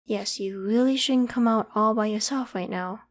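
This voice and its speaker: A woman voicing a polite, protective young female werewolf with a secret, in a gentle, reassuring ASMR roleplay. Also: on the high side, normal speed, close to an everyday voice. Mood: caring, inquisitive. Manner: gentle, reassuring, hesitant when evasive. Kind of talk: ASMR roleplay, acted one-sided conversation.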